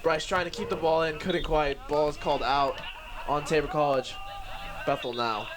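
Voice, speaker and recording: A man doing play-by-play commentary for a live match, slightly hoarse, excitable and chuckling often. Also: on the high side, normal speed, background noise.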